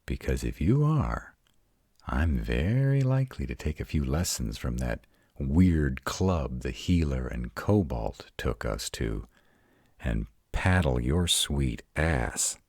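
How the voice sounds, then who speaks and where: Very deep, grumpy male were-dragon, acted by a man. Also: animated, takes it slowly, a little mellow, breathy edge, no room sound, studio quality, heavily acted. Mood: suspicious, teasing. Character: grumpy, protective tsundere male were-dragon guarding his mountain. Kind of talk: scripted roleplay audio drama.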